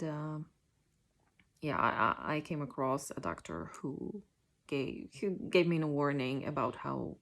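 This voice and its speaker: Polish woman, late 20s to 30s, low voice, halting personal vlog delivery with lots of ums. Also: vocal fry. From Poland. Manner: hesitant, many ums and restarts. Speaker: vlogger sharing a personal story. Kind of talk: casual personal monologue.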